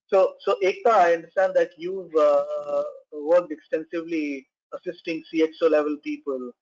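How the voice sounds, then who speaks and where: Male panel moderator, somewhat high voice, halting and filler-heavy, on a muffled video call. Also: steady tone, a little mellow. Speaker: webinar moderator.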